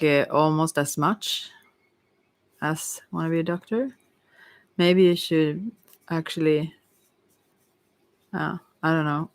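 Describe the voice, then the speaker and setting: A tarot reader giving an informal ASMR reading in a hesitant, rambling way with many pauses. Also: medium pitch, clear, resonant tone, no room sound. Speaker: Ayurveda therapist.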